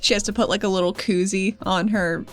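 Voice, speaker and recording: A woman with a medium-pitched voice speaks as a player in a tabletop game. She describes her character in a casual, made-up-on-the-spot way. Faint music runs underneath. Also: fairly even intonation, brisk. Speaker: player on a tabletop RPG podcast.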